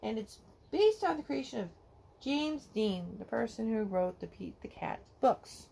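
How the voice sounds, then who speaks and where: A middle-aged woman reading a children's picture book aloud to kids at home, slowly singing the verses in a sing-song way. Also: fairly high pitch, lively intonation, gravelly, warm tone, a little hiss or hum. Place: home living room, indoors.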